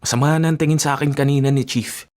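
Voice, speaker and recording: An actor with a Filipino accent playing a cautious, grumbling racing teammate who is easily talked round, in a radio drama. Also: male voice, medium pitch, steady tone, fast talker, darkish tone, lightly acted. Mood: casual grumbling.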